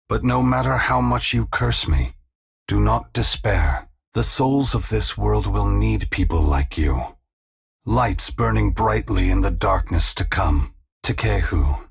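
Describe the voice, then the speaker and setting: A weary, compassionate god for a video game, low and very heavily gravelled. The voice is husky and rasping. He speaks with solemn, measured gravity and formal, philosophical phrasing. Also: exaggerated voice. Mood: gentle, sorrowful. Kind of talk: video game voice acting, dramatic dialogue.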